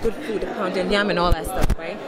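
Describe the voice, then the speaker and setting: A woman's voice: fairly low pitch, steady tone, brisk. A woman in her thirties interviewing guests at a crowded event, teasing and laughing often, into a handheld mic. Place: crowded indoor event hall. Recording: loud crowd chatter behind.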